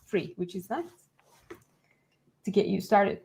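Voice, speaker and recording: A woman co-hosting a casual live stream over a video call, friendly and quick to laugh. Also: mid-range voice, animated, creaky, darkish tone. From Turkey. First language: Turkish. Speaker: co-host. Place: home room, indoors.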